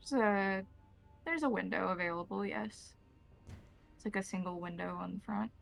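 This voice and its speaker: A woman narrating a tabletop roleplaying game as game master, improvising scenes in a measured, descriptive way. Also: medium pitch, gravelly, faint music behind.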